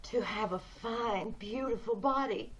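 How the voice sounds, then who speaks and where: A woman's voice: on the high side, measured pace, slight background noise, natural voice. A woman playing a homely, probing woman who yearns for beauty, questioning insistently in old-time radio drama style, over dull video-call audio. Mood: yearning, scheming.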